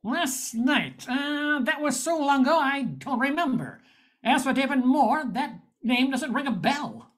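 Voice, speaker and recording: A woman's voice: high voice, expressive, a little slow. A woman playing a wry nightclub owner who narrates a space noir in an amateur radio play. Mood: evasive, wry.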